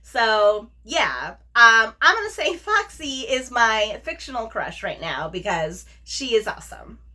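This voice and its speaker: Bright, high-voiced woman chatting about favorites. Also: very animated, a little slow. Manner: effusive, emphatic, informal with slangy asides. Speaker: book review vlogger, host. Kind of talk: casual book chat to camera.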